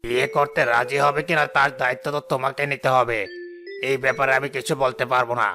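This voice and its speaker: A man's voice: mid-range voice, level tone, fast, sharp tone, soft background music. A man playing a bossy, miserly, rich older village chief in a cartoon, speaking in a commanding and condescending way. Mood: self-important. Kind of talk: Bengali cartoon voice acting.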